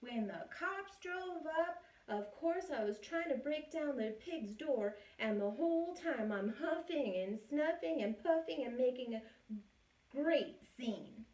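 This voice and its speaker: A woman's voice: high voice, lively intonation, slow, a little mellow. A woman teacher reading a storybook aloud in the voice of a male wolf who claims he was framed, telling it in a chatty, wry, self-justifying way. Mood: aggrieved, chatty. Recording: roomy echo, distant mic.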